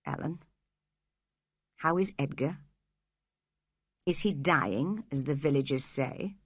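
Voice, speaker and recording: A menacing, scheming man, as a woman reading a storybook puts him on, low and unhurried, giving quiet threats and curt orders. The recording is dry and close. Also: expressive, no echo, full character voice. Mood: quietly scheming.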